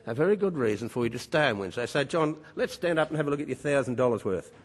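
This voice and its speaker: A man's voice: medium pitch, lively intonation, fast, a little hiss or hum. An older man gives a conference speech, emphatic and wry, full of rhetorical questions. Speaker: conference speaker. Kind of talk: public speech at a mining conference. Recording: reverberant conference hall.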